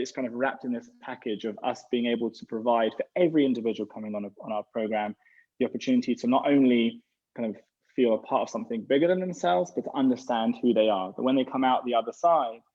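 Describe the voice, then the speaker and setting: Rabbi, mid-pitched man, rambly casual answers on a lo-fi video call. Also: quick pace. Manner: rambling, restarts often. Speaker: rabbi representing a gap year program. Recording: dull lo-fi sound.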